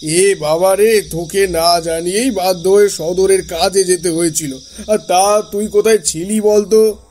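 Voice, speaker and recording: A man's voice: high-pitched, fairly even intonation, a little slow, darkish tone, a little hiss or hum. A man playing an evasive, irritable elder brother in a horror audio drama, scolding and insistent. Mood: feigned casualness. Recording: music under the voice.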